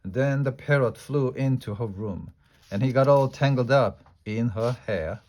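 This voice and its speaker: An excitable, bossy little schoolgirl, played by a man reading aloud tumbles through her words in a dramatic, breathless rush. The voice sits in a middling pitch. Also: moderate pace, natural voice. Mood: storytelling. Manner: breathless kid talk, dramatic.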